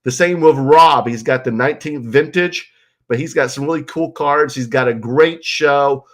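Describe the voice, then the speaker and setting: A man's voice: mid-range voice, normal speed. A man with a stuffed-up, congested voice hosts a rambling, casual livestream from a guest bedroom at home, chatting loosely and reading viewer comments aloud. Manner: rambling, loose, full of ums and you-knows. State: stuffed-up nose and congested from illness. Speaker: livestream host.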